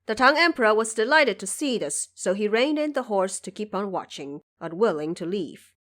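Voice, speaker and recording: A woman giving scripted storytelling narration for an audio drama, with expressive, careful phrasing. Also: medium pitch, moderate pace.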